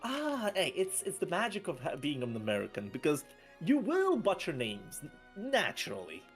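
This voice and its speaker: A man doing play-by-play commentary on a fighting game, excitable and bursting loud at big plays. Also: fairly high pitch, normal speed, soft background music. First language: not French. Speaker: esports commentator.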